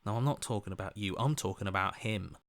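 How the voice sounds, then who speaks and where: A man's voice: fairly low pitch, fast talker, lightly acted. A man voicing an earnest, questioning heroic inner voice in a video game, reasoning things out as he talks. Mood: resolute.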